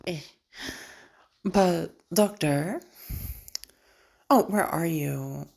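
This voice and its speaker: Casting: actress as unhinged female asylum patient, low-pitched, possessive and flirty, halting teasing delivery, roleplay audio drama. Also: creaky, darkish tone, exaggerated voice. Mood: teasing, mock scolding. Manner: halting, teasing, with casual swearing.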